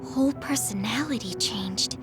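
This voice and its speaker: An actress playing a serious, direct young squad mate in an anime-style video game. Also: female voice, on the high side, moderate pace, over loud music, natural voice. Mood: serious, demanding.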